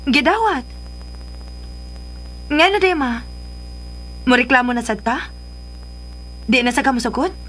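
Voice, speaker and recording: A pampered young Filipino daughter coaxes sweetly and willfully in a fairly high voice. It is radio drama acting, with a faint hum and noise behind it. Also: female voice, lively intonation, a little slow, long pauses, a bit bright, poor audio. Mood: coaxing, willful.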